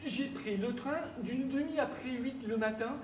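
An actor playing an overly formal English gentleman, speaking with ceremonious, halting politeness on a theatre stage. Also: extremely high pitch, slight background noise. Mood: mannered politeness. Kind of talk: absurdist stage acting. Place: theatre stage, seated in armchair. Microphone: far room mic. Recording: echoey stage from far off.

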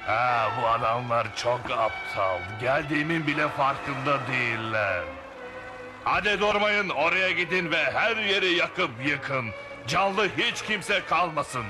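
A menacing cartoon pirate, gloating and bullying. He is fairly high in pitch and stretches his words slowly, with music behind. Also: animated. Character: ruthless, gloating red-bearded pirate villain. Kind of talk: dubbed cartoon acting.

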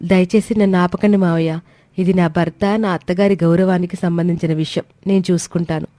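A woman's voice: lowish voice, steady tone, brisk, dark tone, dry recording, close to an everyday voice. A woman voicing a meek, dutiful young daughter-in-law who is polite, deferential and composed in a family story. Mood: resolute, apologetic.

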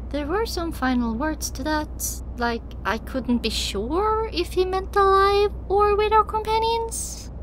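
A woman's voice: very high-pitched, slow, hardly any pauses, a bit bright, slight background noise. A woman narrating a scripted first-person story as an audiobook reader. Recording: music behind the voice.

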